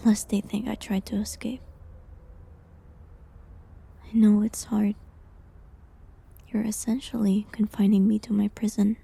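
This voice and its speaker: A woman playing a gentle, resigned prisoner accused of witchcraft in an intimate medieval audio drama, with a breathy, half-whispered, hesitant and pleading delivery. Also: mid-range voice, exaggerated voice. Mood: urgent, sorrowful. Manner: slow, hesitant, pleading, slightly formal old-fashioned wording.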